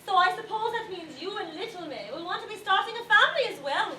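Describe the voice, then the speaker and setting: An actress playing a spirited younger wife on stage, polite and arch, with a teasing sharp tongue. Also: female voice, extremely high pitch, expressive, quick pace, full character voice. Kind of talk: theatrical stage acting. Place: theatre stage. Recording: echoey distant stage, loud audience noise.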